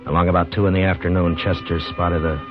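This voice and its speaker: An American actor playing a calm, duty-bound frontier marshal in a 1950s radio western, measured and understated. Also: male voice, low voice, close to an everyday voice. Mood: grave, reflective. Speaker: lead actor and narrator of the story. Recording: dull vintage 1950s radio recording, music.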